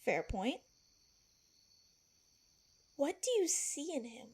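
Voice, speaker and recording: A woman's voice: sits high, sing-song, slow, darkish tone, heavily acted. A woman acting a feisty, protective palace servant in an audio drama, blunt and emphatic. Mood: shocked, exasperated.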